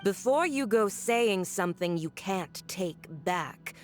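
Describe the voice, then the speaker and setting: A woman's voice: medium pitch, lively intonation, slow pace, faint music behind. A woman voicing a haughty, cruel noblewoman villain who speaks in a cold, condescending, mocking way in a video game. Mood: threatening. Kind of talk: video game cutscene acting.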